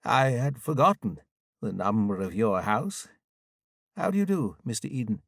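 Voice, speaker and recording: Man acting an eager, gravelly, over-familiar stranger. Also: medium pitch, big pitch swings, moderate pace, no room sound, studio quality. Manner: effusive, familiar.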